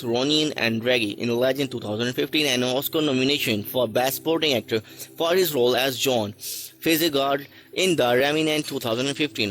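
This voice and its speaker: A man narrating a list video from a script, reading haltingly with stray articles and restarts. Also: mid-range voice, fairly bright tone, rough recording. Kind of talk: read-aloud list narration.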